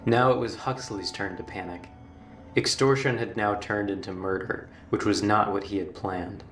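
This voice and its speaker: A man narrating a scripted horror documentary in a measured, formal storytelling manner. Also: on the low side, fairly even intonation, a bit bright. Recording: roomy, distant playback with music. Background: background music.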